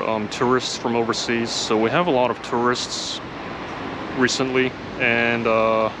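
A man's voice: medium pitch, background noise. A man in his thirties from Japan talking casually to a handheld camera outdoors, with many fillers and restarts. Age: 30s. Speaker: vlogger. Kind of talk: casual vlog talk to camera. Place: outdoors on a street by trees. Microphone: camera held close to face.

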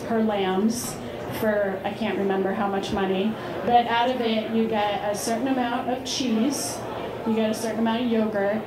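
A woman's voice: on the high side, steady tone, moderate pace. Female conference presenter, conversational and explanatory, recorded in an echoey meeting hall with noticeable room noise. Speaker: conference speaker, farmer. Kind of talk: informal slide presentation to an audience. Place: large indoor meeting hall with seated audience. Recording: roomy, noisy hall recording. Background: room noise and ambience.

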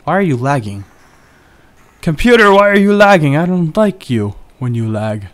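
Young man, gameplay commentary, chatty, self-joking, smooth resonant voice, keyboard clicks behind. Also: fairly high pitch, big pitch swings, normal speed. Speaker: gaming channel host. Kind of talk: casual Let's Play commentary.

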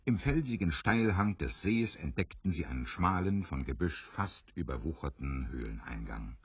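A man with an extremely deep, smooth voice narrates an adventure audio drama. His measured storytelling moves expressively up and down, in a dry, slightly dull recording. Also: very animated, no echo.

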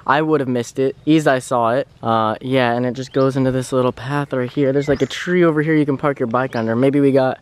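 A man's voice: mid-range voice, steady tone, fairly quick, few pauses, clean tone. A young man from the United States vlogging his travels, chatting casually straight to a camera held at arm's length, often saying "like". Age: young adult. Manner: chatty, talks straight to camera, many "like" fillers. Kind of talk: casual travel vlog narration. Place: outdoors on forest paths and indoors in a room. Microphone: handheld camera at arm's length.

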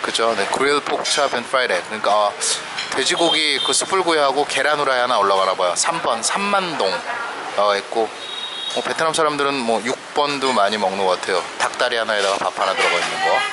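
A man's voice: medium pitch, animated, fast talker. A man casually narrating a food vlog, chatting about dishes and prices on camera at street restaurants. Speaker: travel vlogger. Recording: loud street noise, traffic.

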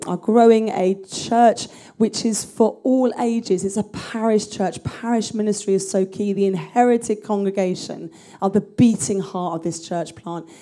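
A woman's voice: mid-range voice, warm tone. A woman introducing herself earnestly on a church conference panel, speaking into a handheld mic in a large conference room. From England.

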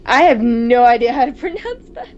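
Woman, playful gaming host reading game text and chatting, high voice, slightly noisy dull recording. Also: lively intonation, moderate pace. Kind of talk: reading visual novel narration aloud with casual chat. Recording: muffled, noisy home microphone.

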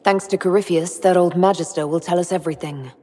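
An actress playing a young elf heroine in a video game, questioning in a measured, firm, commanding way. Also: female voice, lowish voice, steady tone, warm tone, breathy edge. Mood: resolute. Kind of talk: video game dialogue acting.

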